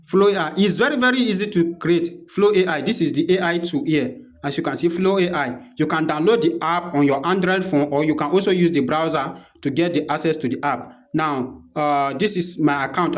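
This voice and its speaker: A man with a Nigerian accent presenting an unscripted software walkthrough, explaining step by step and repeating his instructions as he goes. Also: on the high side. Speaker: tutorial presenter.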